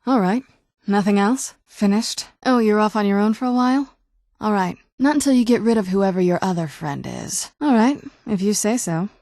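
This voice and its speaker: A woman's voice: medium pitch, animated, normal speed, a little mellow, no echo, close to an everyday voice. An actress playing a young female vampire companion in a video game, with a dry, guarded, sardonic manner. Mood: dry, wry. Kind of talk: video game character voice acting.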